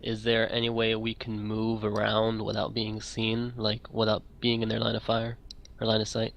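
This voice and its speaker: Male player, low and very flat in pitch, casual group-game talk. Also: normal speed, creaky, highs cut off, slight background noise.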